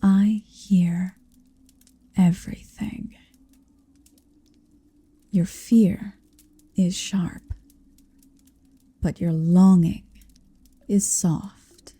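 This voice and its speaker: A woman voicing a possessive, seductive motherly dragon in an intimate ASMR roleplay, breathy and whispery, speaking slowly and deliberately close to the microphone. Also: lowish voice, steady tone, mellow, dry recording, heavily acted. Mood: tender, teasing. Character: possessive, seductive motherly female dragon hoarding her captive. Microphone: close microphone, dry.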